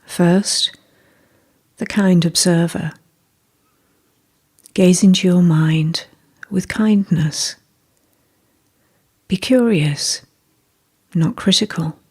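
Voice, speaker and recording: A woman leading a scripted guided meditation in a gentle, measured, soothing way, with a soft, breathy voice. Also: lowish voice.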